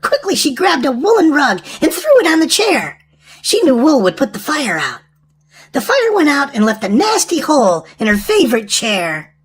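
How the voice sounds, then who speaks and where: High, wildly animated woman storyteller. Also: moderate pace. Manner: animated, exaggerated sing-song delivery to children. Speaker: children's storytime host and reader. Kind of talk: read-aloud storybook narration for young children.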